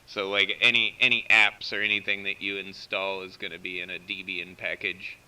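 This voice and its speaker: Bright, clear American man on CB radio. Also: low-pitched, little pitch movement, normal speed. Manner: explanatory, informal, walks through tech details. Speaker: CB radio operator running a live stream. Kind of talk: casual technical chat over CB radio. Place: CB radio station, heard through live feed. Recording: distant-sounding CB radio feed.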